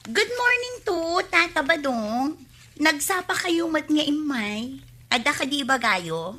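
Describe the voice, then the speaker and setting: An actress playing a coy, forthright young village maiden in an Ilocano comedy radio drama, with an Ilocano accent. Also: female voice, high-pitched, sing-song, takes it slowly, sharp tone, exaggerated voice. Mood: cheerful.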